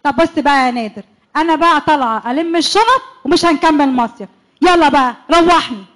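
A woman's voice: high voice, lively intonation. A woman playing a jealous, suspicious young wife. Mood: accusing. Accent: Egyptian Arabic. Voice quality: sharp, needling, quick to accuse. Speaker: radio drama actress. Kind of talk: church radio comedy-drama acting.

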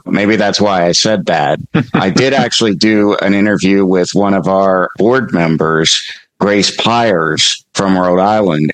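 A man's voice: low voice, low-quality recording. A man co-hosting a podcast and interviewing guests in a conversational way.

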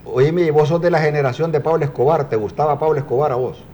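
A man's voice: a little high, quick pace, mellow, slight background noise. A man hosting a TV show, asking teasing, probing questions in a playful, casual on-air chat. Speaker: TV host.

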